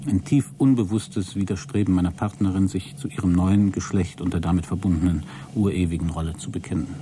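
A low male voice over clearly noisy ambience an actor playing an embittered, self-important man in a German radio drama. He words everything formally and pedantically, with a cold edge, as if dictating an official log. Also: a little fast, close to an everyday voice. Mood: resigned, pedantic. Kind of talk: German radio play acting, spoken logbook monologue.

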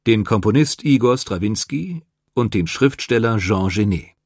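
A man reading scripted biographical narration in a measured, composed manner. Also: lowish voice, lively intonation, darkish tone, no room sound, clean studio sound. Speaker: documentary narrator. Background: music.

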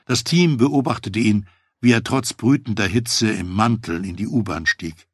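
Man, audiobook narrator, husky gravelly voice, dry close mic. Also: lowish voice, moderate pace, dry recording. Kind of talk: first-person memoir reading.

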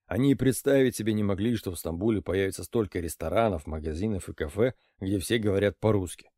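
A man reading an audiobook, voicing a shrewd, calm older intelligence officer who speaks in a dry, ironic, lecturing way. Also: on the low side, fast talker, dry recording, close to an everyday voice. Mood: wry.

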